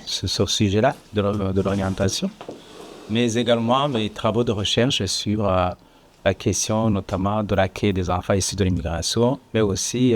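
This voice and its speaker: A man from Rwanda addresses an audience as a panel speaker. His voice sits fairly low, and he speaks hesitantly, full of fillers and small restarts. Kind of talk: panel talk. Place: conference room with audience.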